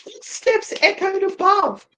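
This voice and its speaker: A woman's voice: very high voice, animated, slow, pushed and bright. A woman hosting and narrating a dramatic story read in a theatrical, playful way, with casual banter. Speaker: host and narrator.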